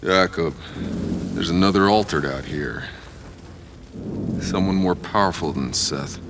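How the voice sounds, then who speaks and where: A weary, sardonic mercenary hero in a video game. His voice is extremely deep and gravelly, gruff and deadpan, with clear ambient noise behind him. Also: a little slow. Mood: grim. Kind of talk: video game cutscene acting.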